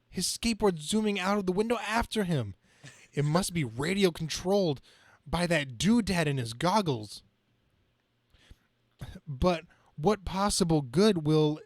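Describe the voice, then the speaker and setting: Guy reading as young comic book superhero, quippy but kinda whiny about his bad luck, highish voice. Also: no echo, studio quality. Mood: startled. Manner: quippy, self-pitying, dramatic reading from a page.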